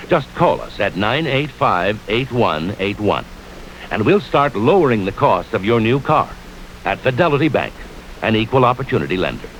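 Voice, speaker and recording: Lively American radio ad announcer. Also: male voice, fairly low pitch, normal speed, rough recording. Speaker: commercial announcer. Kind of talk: scripted bank advertisement. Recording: hissy 1970s AM radio commercial.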